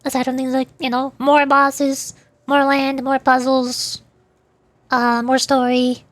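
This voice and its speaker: A woman's voice: sits high, completely flat delivery, slow, dry recording. A woman livestreamer chatting casually over a game in a rambling, playful way. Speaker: livestreamer, VTuber. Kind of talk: casual chat while gaming, answering live chat.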